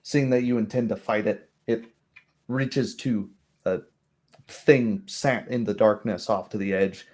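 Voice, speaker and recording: Slow, low-voiced game master narrating. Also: male voice, fairly even intonation, a little mellow.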